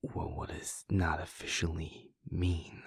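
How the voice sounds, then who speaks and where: A man talking softly and hesitantly to a partner in an intimate audio roleplay, pausing with sighs and restarts. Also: deep voice, monotone, breathy edge, dry recording.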